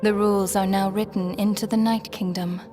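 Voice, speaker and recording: A woman's voice: medium pitch, level tone, moderate pace, over music, close to an everyday voice. A woman voicing a solemn, imperious ruler of death in an anime-style video game, grave and formal. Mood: solemn, stern.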